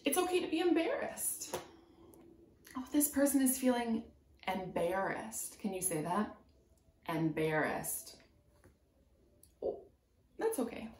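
A woman in her twenties reads a picture book aloud as a preschool teacher, pausing to put simple, encouraging questions to young children. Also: fairly high pitch, animated, darkish tone, slight background noise. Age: 20s. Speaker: preschool teacher reading a storybook. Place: small room at home, indoors. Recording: roomy indoor echo.

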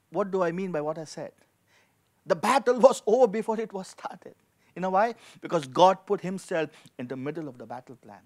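A middle-aged man preaching a sermon as a pastor, emphatic, repeating key phrases and asking rhetorical questions. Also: sits high. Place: indoor studio with dark backdrop, at a lectern. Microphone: handheld microphone.

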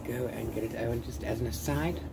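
A man's voice: medium pitch, steady tone, brisk. A man chatting casually in a book review vlog, rambling and trailing off into repeated filler phrases. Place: open-sided corrugated-iron shelter outdoors. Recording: clear background noise, open-air ambience.

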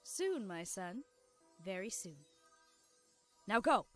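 A woman's voice: mid-range voice, sing-song, slow pace, faint music behind. A woman voicing a patient, menacing cartoon pony villain, a mother plotting in a conspiratorial tone. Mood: scheming.

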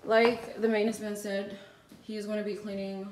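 A woman's voice: medium pitch, flat delivery, moderate pace, a little mellow. A woman chatting informally and off the cuff to her viewers, as in a home vlog. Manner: informal, unscripted, trailing thoughts. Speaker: vlogger. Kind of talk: casual vlog chat to viewers. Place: indoors, empty apartment and lived-in home. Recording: echoey rooms with clear background noise.

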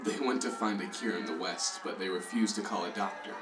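A man's voice: low-pitched, fairly quick, close to an everyday voice. A man acting as a serious, probing interviewer in an audio drama, narrating and putting questions. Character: serious, probing male interviewer documenting a catastrophe. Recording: roomy echo, faint music.

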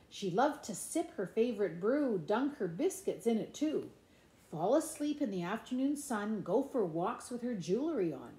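Middle-aged female storyteller, slow and expressive picture-book delivery, playful sing-song lilt, roomy home recording. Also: on the high side. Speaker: grandmother reading a picture book to grandchildren. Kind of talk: animated children's storybook read-aloud with asides. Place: indoors in a room at home. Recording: echoey room.

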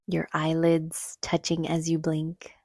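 A woman leading a guided meditation in a soothing, gentle, unhurried manner, with soft breathy phrase endings. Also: low voice, completely flat delivery, no room sound, studio quality. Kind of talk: calm guided meditation narration.

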